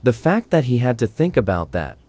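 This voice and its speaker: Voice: medium pitch, big pitch swings, fairly quick, dark tone, a little hiss or hum. A gameplay video maker giving playful commentary in short, sarcastic quips.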